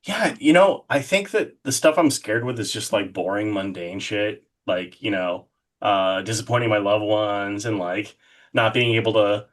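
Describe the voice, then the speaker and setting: A man's voice: lowish voice, normal speed. A man speaking as an interview guest in a casual, rambling, informal way with lots of hedging. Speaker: interview guest, horror artist.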